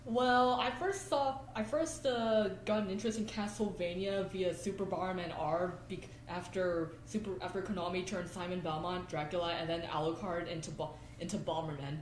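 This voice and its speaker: Woman podcast guest, lowish voice, matter of fact, echoey room. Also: fairly even intonation, moderate pace, few pauses. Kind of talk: casual chat. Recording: echoey room, faint background noise.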